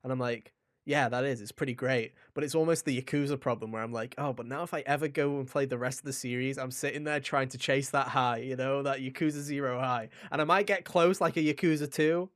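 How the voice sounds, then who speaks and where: A man's voice: mid-range voice, steady tone, a little fast, a bit bright, dry recording. Man chatting casually, close dry sound. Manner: enthusiastic, informal, swears. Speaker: podcast co-host. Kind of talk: casual video game podcast chat.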